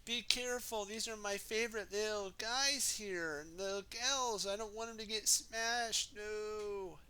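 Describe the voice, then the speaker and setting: A man's voice: high-pitched, unhurried, sharp tone, clean tone, voice pushed up. A man voicing a fretful, pleading woman in a children's storybook who is protective of her garden gnomes. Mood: worried. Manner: pleading, repeats her words.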